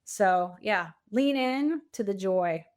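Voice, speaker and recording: A woman in her fifties answering as an interview guest, talking conversationally in an animated, encouraging and emphatic way. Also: mid-range voice, takes it slowly. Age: 50s. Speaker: podcast guest, coach and speaker.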